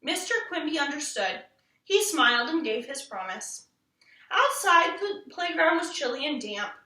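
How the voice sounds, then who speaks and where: Bright, high-pitched woman reading a storybook. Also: big pitch swings, measured pace. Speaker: children's book reader. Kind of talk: expressive storybook narration. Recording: roomy echo, distant from mic.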